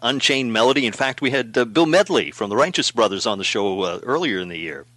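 Man, radio host, middle pitch, friendly interviewer, dry close sound. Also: lively intonation, a little fast, a bit bright, dry recording. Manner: friendly, with many short acknowledgements and laughs. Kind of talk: casual interview chat.

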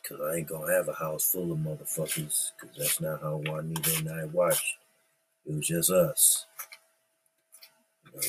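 A middle-aged man hosting his own podcast, talking in a slow, laid-back and profane ramble, musing aloud about whatever is on his mind. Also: rumbling low, noisy background. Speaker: podcast host. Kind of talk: casual rambling monologue.

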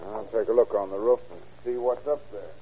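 An actor playing a wry, sharp-eyed American lawman, the grumbling partner in an old-time radio crime drama. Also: on the low side, fairly even intonation, brisk, slightly rough. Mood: inquisitive. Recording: muffled, noisy vintage radio recording.

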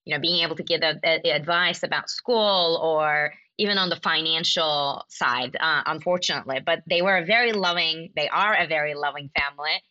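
Young Turkish-born woman, podcast guest, low pitch, reflective, a bit dull-sounding. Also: moderate pace, few pauses, bright, forceful tone. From Turkey. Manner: thoughtful, with frequent fillers and restarts. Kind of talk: conversational interview answers.

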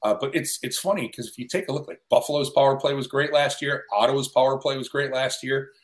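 A man's voice: medium pitch, steady tone, quick pace. Middle-aged man telling wry stories. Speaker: guest sports broadcaster. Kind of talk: casual chat, storytelling.

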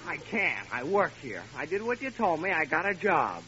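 A very noisy, muffled old radio recording carries a cheeky young waiter. His voice is high for a man and needles slyly, as in a vintage sitcom. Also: sing-song, normal speed. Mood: surprised, cheeky. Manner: insinuating, needling.

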